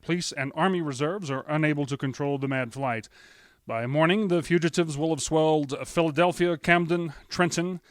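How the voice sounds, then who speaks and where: An actor playing a grave, shaken radio news announcer, solemn and halting as he reads emergency bulletins in 1930s radio style. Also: fairly high pitch, dry recording, clean studio sound, natural voice.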